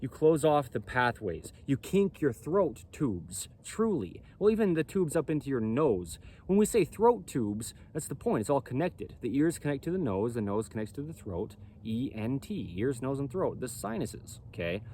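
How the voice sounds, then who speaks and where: Boomy-voiced man explaining emphatically. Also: big pitch swings, moderate pace, few pauses, warm tone, a little hiss or hum. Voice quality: boomy projecting voice. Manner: emphatic, repetitive, asks "right?" and "okay?". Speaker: clothing company founder. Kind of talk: self-recorded explainer monologue.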